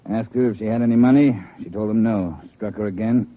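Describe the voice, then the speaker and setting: An actor playing a gruff police captain with a clipped, dry manner in 1950s radio drama style. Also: male voice, fairly low pitch, fairly quick, close to an everyday voice. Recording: tinny, faintly noisy old radio recording.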